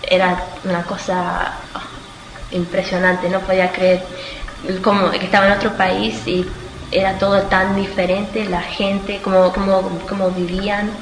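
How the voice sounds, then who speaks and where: An eighteen-year-old woman from Australia answers interview questions informally, haltingly searching for words and correcting herself. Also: medium pitch, level tone. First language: Spanish. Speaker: student being interviewed. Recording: echoey room with clear background noise.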